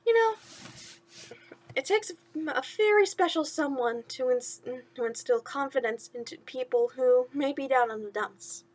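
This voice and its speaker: High-voiced woman telling children's stories. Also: normal speed. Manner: simple, encouraging address to young listeners. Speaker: host of a bedtime story series. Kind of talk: storytelling to children.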